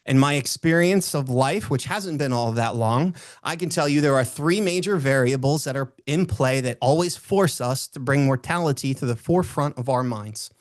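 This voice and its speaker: Booming-voiced man giving a motivational talk. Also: moderate pace, few pauses, no room sound. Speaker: motivational speaker. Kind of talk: motivational talk with personal storytelling.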